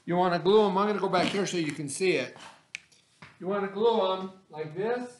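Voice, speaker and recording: A man in his forties, a teacher, giving slow step-by-step craft instructions to children from a classroom webcam. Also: high voice, a little hiss or hum, poor audio. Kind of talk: remote classroom craft lesson. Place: classroom, indoors. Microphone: webcam at desk.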